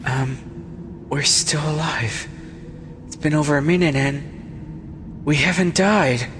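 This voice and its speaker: A man's voice: fairly high pitch, takes it slowly, noisy background. An actor in an anime fan dub playing a hesitant, earnest young male policeman. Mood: bewildered.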